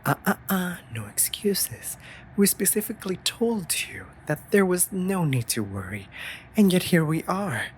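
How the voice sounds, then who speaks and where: A woman's voice: lowish voice, sing-song, a little slow, slight background noise. A woman voicing a sassy, exasperated but devoted raven familiar in a fantasy audio drama, scolding theatrically in formal phrasing. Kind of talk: scripted roleplay audio drama addressed to listener.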